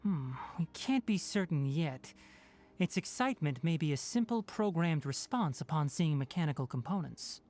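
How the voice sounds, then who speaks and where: An actor playing a calm, analytical young male forest ranger who explains his plans in a measured, matter-of-fact way in a game cutscene. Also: a little high, close to an everyday voice. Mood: reasoned. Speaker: forest watcher.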